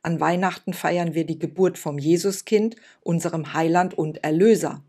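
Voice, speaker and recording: A pompous old village priest scolds a child, loud, preachy and indignant, as a woman reading a storybook puts him on. His voice stays low. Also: steady tone, normal speed. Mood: indignant preaching.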